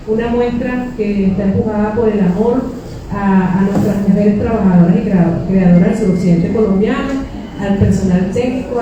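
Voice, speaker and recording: A woman from Venezuela, informally introducing a live panel discussion as host, in a halting way with drawn-out, repeated words. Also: medium pitch. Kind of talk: informal spoken introduction to a live public talk. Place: indoors in a library event space. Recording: very echoey room, distant mic, faint noise.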